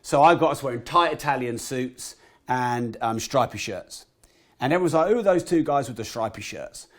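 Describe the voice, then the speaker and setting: A man in his thirties with a croaky voice, a podcast guest telling animated stories and swearing casually. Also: mid-range voice, normal speed. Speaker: guest entrepreneur. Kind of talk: podcast interview storytelling.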